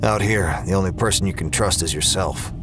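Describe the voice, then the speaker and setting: An actor playing a weary, cynical lone space ranger in a sci-fi audio drama, terse, guarded and grim. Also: male voice, sits low, brisk, background noise, lightly acted.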